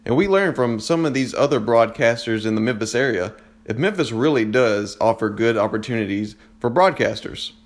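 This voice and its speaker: A young man works as a student news reporter. He delivers scripted local reports in a medium-pitched voice, presenting each piece as a correspondent would. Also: expressive, moderate pace. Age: young adult.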